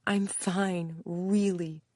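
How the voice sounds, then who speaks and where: A woman's voice: on the low side, steady tone, very slow, darkish tone, no echo, pitched above the performer's own voice. A female narrator voices an uneasy younger woman in an audiobook. She is polite and guarded, offering short reassurances and questions. The recording is dry. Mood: guarded, reassuring.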